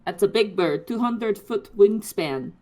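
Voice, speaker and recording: A woman's voice: mid-range voice, lively intonation, measured pace, dark tone. A woman playing a tabletop role-playing game, chatting casually in character in a tentative, hesitant way.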